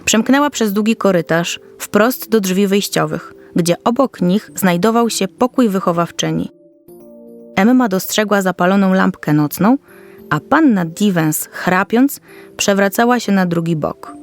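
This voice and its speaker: A woman's voice: mid-range voice, a little slow, faint music behind. A woman narrator reading a scripted children's story.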